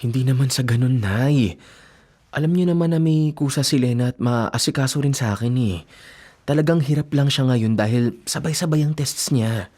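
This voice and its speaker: A man acting in a radio drama as a devoted, self-sacrificing young boyfriend and son, gentle and reassuring, with a Filipino accent. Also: medium pitch, normal speed, close to an everyday voice. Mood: apologetic, explaining. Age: young adult.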